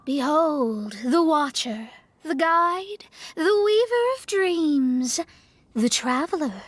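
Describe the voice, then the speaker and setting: A woman's voice: high voice, animated, slow, no echo. A woman voicing a wry, put-upon young astrologer in a video game, with a dry, reluctant manner. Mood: mock grandiose. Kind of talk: video game cutscene acting.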